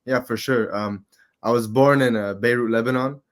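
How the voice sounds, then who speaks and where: A man in his twenties from Qatar, a guest in a casual interview, talking in a relaxed, rambling way with lots of fillers. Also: mid-range voice, normal speed. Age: 20s. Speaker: guest, amateur MMA fighter.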